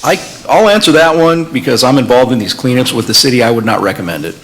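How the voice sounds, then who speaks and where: A man's voice: medium pitch, fast talker, a bit bright, slight background noise, poor audio. A man who is a police officer, firmly answering questions at a public workshop. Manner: direct, firm advice.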